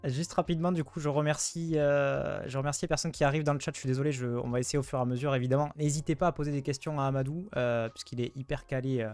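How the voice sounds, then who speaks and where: Male stream host, mid-range voice, quick and chatty, informal. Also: medium pitch, steady tone, a little mellow, clear, resonant tone, dry recording. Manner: brisk, chatty, speeds up when greeting the chat. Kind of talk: livestream hosting.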